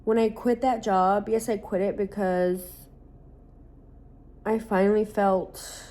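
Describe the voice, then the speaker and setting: Woman, late twenties, casual vlog chat, rambling, candid, swears casually, mid-range pitch. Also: fairly even intonation, slow pace, warm tone. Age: late 20s. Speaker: social media creator.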